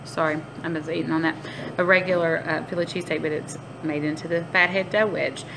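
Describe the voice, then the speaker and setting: A woman's voice: low voice, fairly even intonation, brisk, gravelly. Chatty woman, noisy phone recording. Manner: chatty, informal, addresses viewers as guys. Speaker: vlogger. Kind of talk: casual vlog chat. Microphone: phone at arm's length. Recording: noisy amateur phone video.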